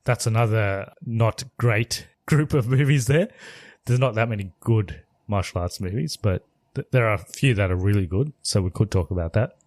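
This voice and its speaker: A man co-hosting a podcast in casual chat, relaxed and often laughing as he steers the talk. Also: fairly low pitch, normal speed, no echo. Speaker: podcast co-host.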